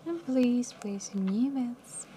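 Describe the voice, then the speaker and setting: A young woman working as a live casino card dealer, calling each round in a soft, mumbly, calm and polite way from a studio table. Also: on the high side, takes it slowly, a little hiss or hum. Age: young adult. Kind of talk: announcing card game rounds.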